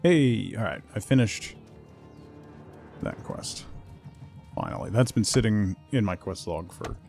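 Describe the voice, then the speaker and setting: A man's voice: fairly low pitch, expressive, a little slow, gravelly, darkish tone. A man chatting casually as he streams a game, thinking aloud in short fragments. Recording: music behind the voice. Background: music.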